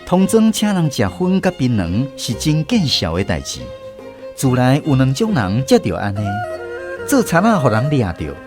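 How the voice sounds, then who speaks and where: A man narrating a story in a gentle, nostalgic, unhurried way. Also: medium pitch, wildly swooping pitch. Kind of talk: scripted storytelling narration, reminiscing. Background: clear background music.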